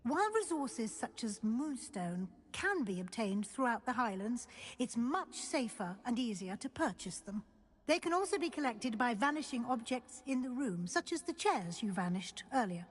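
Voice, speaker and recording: An actress playing a composed, encouraging middle-aged professor of magic in a video game, speaking in a measured, formal, instructive way. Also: female voice, on the high side, sing-song, husky. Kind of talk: scripted video game dialogue. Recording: long hall-like reverb.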